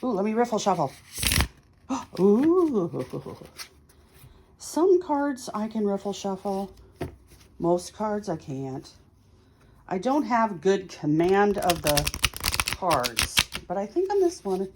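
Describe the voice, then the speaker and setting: A woman's voice: medium pitch, big pitch swings, slow pace, pauses often, background noise. A woman hosting a tarot reading, chatting casually and thinking aloud in short, musing phrases. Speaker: tarot reader hosting a video series.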